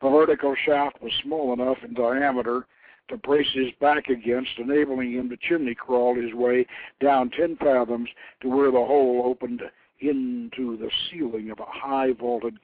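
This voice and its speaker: Guy narrating an old legend for radio, measured n dramatic, thin phone call audio. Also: mid-range voice, no echo. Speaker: host reading his own novelette. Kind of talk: scripted story narration.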